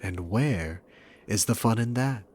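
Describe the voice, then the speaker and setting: A low-pitched demon king played by a man speaks in a slow, theatrical drawl. He is a dominant villain in an ASMR roleplay, mocking and condescending as he toys with his prey. Faint noise sits behind the voice. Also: lively intonation, darkish tone. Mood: amused, condescending. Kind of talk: ASMR roleplay acting, addressed to the listener.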